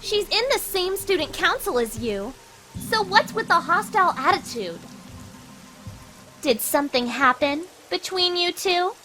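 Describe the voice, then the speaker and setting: Peppy anime schoolgirl, very high and bright, indignant and inquisitive. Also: animated, a little slow, soft background music, heavily acted, low-quality recording. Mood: indignant, curious. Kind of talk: anime fan dub acting.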